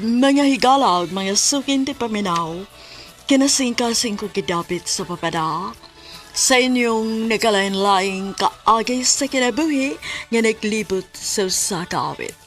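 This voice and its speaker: Slow-paced Cebuano woman radio host. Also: mid-range voice, a bit bright. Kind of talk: scripted radio hosting.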